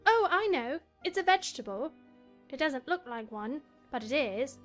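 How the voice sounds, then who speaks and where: A woman acts out a young storybook girl, polite and inquisitive. Her voice is high and matter-of-fact, with light music behind it. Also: animated, moderate pace. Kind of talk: dramatized audiobook acting.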